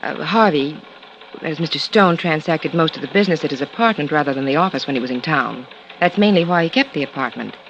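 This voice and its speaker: Casting: polite, guarded American secretary, old radio drama, hesitant and proper, muffled recording with faint noise. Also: female voice, sits low, animated, brisk. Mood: polite, composed. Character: guarded, polite female secretary giving a statement. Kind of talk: 1950s radio drama acting.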